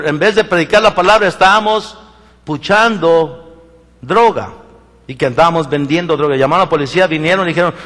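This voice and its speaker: A Mexican pastor preaches in a somewhat high voice and tells his own stories with energy, acting out the voices of the people in them. He often stops and restarts his sentences as he talks. The sound rings with the long reverb of a church hall. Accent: Mexican Spanish. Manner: animated storytelling, acts out quoted voices, many restarts. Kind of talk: sermon with personal stories. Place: church sanctuary.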